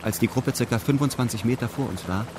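A man's voice: medium pitch, quick pace, background noise. A man narrating a radio drama in a formal, matter-of-fact, detached way. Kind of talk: scripted radio drama narration in first person.

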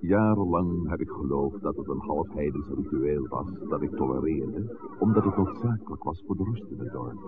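An actor playing a reflective, cautious older village priest in a 1960s radio drama, speaking formally and with measured phrasing. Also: male voice, very deep voice, animated. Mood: pensive, wistful. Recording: noisy, tinny old radio recording.

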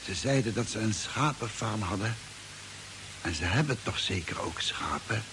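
Radio drama: testy, confused castaway spaceman, sure of himself, lower register, heavy vintage hiss. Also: expressive, normal speed. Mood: calmly deluded. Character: confused, irritable stranded spaceman, deluded but self-assured. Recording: old hissy, noisy radio recording.

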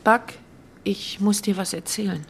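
A woman's voice: medium pitch, fairly even intonation, a little slow, creaky, slight background noise. An actress playing a weary, troubled career woman, subdued and confiding, in a naturalistic radio drama. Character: weary, troubled female banker.

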